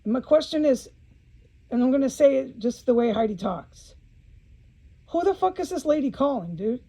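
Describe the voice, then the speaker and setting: A woman's voice: sits high, animated, moderate pace, mellow, low-quality recording. A woman speaking in an informal video monologue, blunt and agitated, swearing often and repeating phrases for emphasis, with an aggrieved manner.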